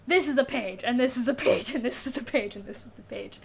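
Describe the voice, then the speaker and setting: A woman's voice: fairly high pitch, fairly quick, vocal fry, breathy edge. A woman co-hosting a podcast, chatting informally about a book and reacting with short interjections. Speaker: podcast co-host. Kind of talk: casual book discussion chat.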